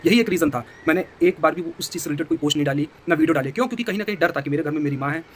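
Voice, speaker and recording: A man's voice: a little high, rapid-fire. A man vlogging, speaking directly to his viewers and explaining himself. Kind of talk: addressing viewers in a vlog. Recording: faint background noise.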